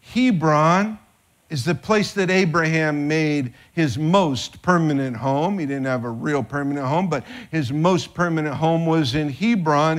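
Middle-aged pastor preaching on a church stage, slow and deliberate, kinda high voice, gets worked up. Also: male voice. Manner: animated, gesturing preacher, rises in pitch when excited. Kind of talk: sermon. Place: church stage with band instruments.

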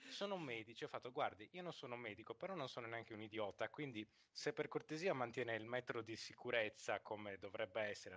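A man telling anecdotes in casual podcast chat, wordy and halting, with ironically formal phrasing. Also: mid-range voice, a bit bright. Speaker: podcast co-host.

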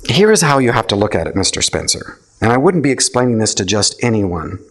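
A man reading a story aloud and voicing ego, a theatrical, scheming male spirit who flatters and stalls. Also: medium pitch, brisk. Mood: sly. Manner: oily, stalling, wheedling.

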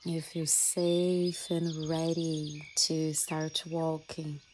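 Slow, low-voiced woman guiding a meditation. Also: completely flat delivery. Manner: soothing, gentle, with long pauses between short phrases. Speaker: yoga and mindfulness teacher leading a guided meditation. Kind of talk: guided meditation. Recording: faint ambient noise with birdsong. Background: birdsong and nature ambience.

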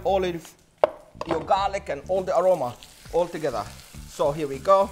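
A man in his thirties presenting a cooking demonstration as a chef, with an enthusiastic, showy manner. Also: fairly high pitch. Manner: enthusiastic, showy, addresses audience as a showman. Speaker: chef hosting a cooking show. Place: indoor modern studio kitchen. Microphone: lapel mic.

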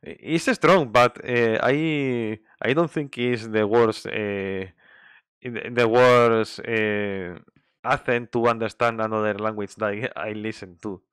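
Slow, halting man speaking English. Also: fairly low pitch, steady tone, fairly bright tone, smooth, dry recording, studio quality. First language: Spanish. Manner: halting, frequent restarts and fillers; laughs often. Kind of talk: casual group chat in English.

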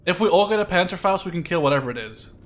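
A man's voice: sits high, animated, rapid-fire, fairly bright tone. Young man calling out orders while gaming. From Canada or Netherlands. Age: 20s. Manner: swears freely, directing teammates. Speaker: gamer leading his squad. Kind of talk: casual gaming chat with squad callouts. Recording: gunfire and game noise behind voice. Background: video game gunfire and battle ambience.

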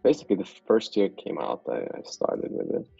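Deep husky man from Austria on a call. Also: a little fast, no room sound, dull audio, soft background music. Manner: relaxed, hesitant, many fillers. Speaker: guest, software developer. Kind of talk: casual video call interview. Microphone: video call.

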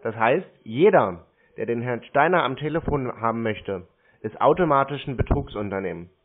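A man speaks in a mid-pitched voice over a muffled, phone-like connection. His delivery is casual, laconic and blunt, as he fields a call. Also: lively intonation, normal speed. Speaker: scam baiter taking calls. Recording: muffled tinny phone-like audio.

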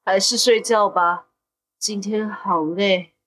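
A woman's voice: medium pitch, steady tone, slow pace, fairly bright tone, poor audio. A woman playing a curious, easily frightened young teenage boy in an audio drama. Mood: pondering, uneasy. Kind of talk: Mandarin audio drama acting.

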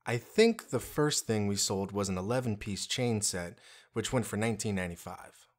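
A man's voice: fairly low pitch, expressive, normal speed. Man playing a plain-spoken former restaurateur. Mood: plain recollection. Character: plain-spoken former restaurant owner recalling his job. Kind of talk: read interview quote, re-enacted.

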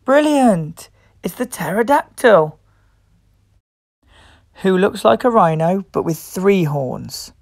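A woman reading a picture book aloud to young children as host, expressive, playful and encouraging. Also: mid-range voice, slow pace, gravelly, pauses often, dry recording, studio quality. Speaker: children's storybook reader and host.

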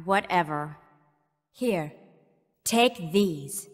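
A woman playing a cool, practical, no-nonsense young survivor in a video game cutscene, dry and measured in manner. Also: lowish voice, lively intonation, in a large hall, heavily acted. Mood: dry, teasing.

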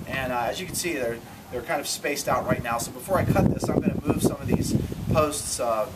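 Man explaining a build outdoors, distant mic. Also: medium pitch. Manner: loose and conversational, many ums and uhs. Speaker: DIY cockpit builder host. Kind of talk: casual how-to demonstration. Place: outdoors by a garage door. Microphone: camera mic from a few metres. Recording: distant camera mic, audible ambient noise.

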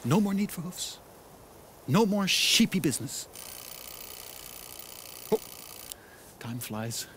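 A smooth-talking salesman. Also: high voice, sing-song, slow pace, long pauses, fairly bright tone, a little hiss or hum, exaggerated voice. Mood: persuasive. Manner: coaxing, theatrical, salesy. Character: smooth-talking male salesman, eager and persuasive. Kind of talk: animated film voice acting.

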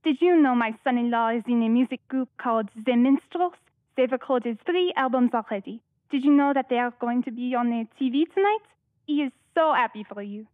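An actress as an earnest, high-voiced young wife. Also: female voice, a little fast, phone-quality sound, lightly acted. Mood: excited, affectionate. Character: lonely, fed-up young French wife and mother. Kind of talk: audio drama acting.

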